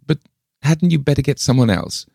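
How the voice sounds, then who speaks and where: Bloke voicing a no-nonsense lady in a story, raised pitch, brisk, cheery, bit pushy and coaxing. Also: lively intonation, creaky, warm tone, no room sound, studio quality. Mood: politely puzzled. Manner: brisk, coaxing, matter-of-fact. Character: brisk, practical, nosy woman visitor, cheerful and insistent. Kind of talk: dramatised storybook reading.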